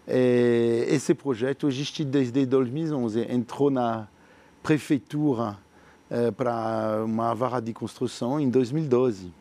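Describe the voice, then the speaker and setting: French man, mellow, halting visionary. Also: medium pitch, steady tone. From France. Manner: earnest, visionary, halting with many fillers and repeated words. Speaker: entrepreneur. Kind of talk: interview answers.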